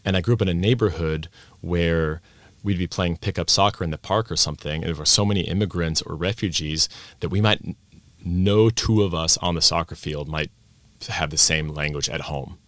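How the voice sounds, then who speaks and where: A man's voice: deep voice, normal speed, a bit bright, dry recording. A man who grew up in Austria, a language teacher and presenter, talking reflectively with frequent restarts. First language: English and German bilingual. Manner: reflective, many restarts and self-corrections. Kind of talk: conversational podcast interview.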